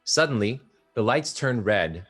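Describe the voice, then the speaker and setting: A man reading stage directions aloud at a play table read, plain and steady. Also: fairly low pitch, measured pace, husky, faint music behind.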